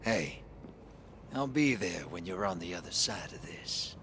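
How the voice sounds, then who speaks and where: An easygoing scoundrel of a game world. He ambles through his lines in a folksy, wry drawl. The pitch swoops freely, and faint noise sits behind him. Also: animated, measured pace, a bit bright. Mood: warm, teasing. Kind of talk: video game character acting.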